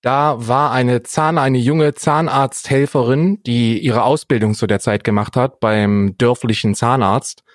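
A man's voice: mid-range voice, steady tone, unhurried, few pauses, no room sound, studio quality. A man, chatting and telling anecdotes casually as a podcast co-host.

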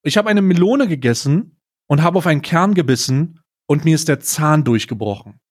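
A man's voice: a little high, animated, normal speed. A man telling anecdotes casually as a podcast co-host, blunt and slangy, dropping in bits of English.

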